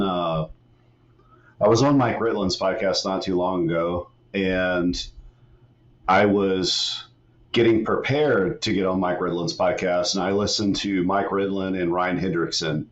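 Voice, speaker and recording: Middle-aged guy from west virginia, real low voice, easygoing and halting, muffled sound. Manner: laid-back, frequent "man" and "you know", halting restarts. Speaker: guest, retired Army master sergeant and author. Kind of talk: casual podcast interview.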